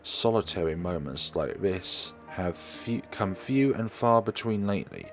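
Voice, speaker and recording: A man reading first-person story narration aloud for a let's play, expressively but haltingly, sometimes stumbling and correcting himself. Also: deep voice, expressive, gravelly. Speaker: let's player reading a visual novel aloud. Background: background music.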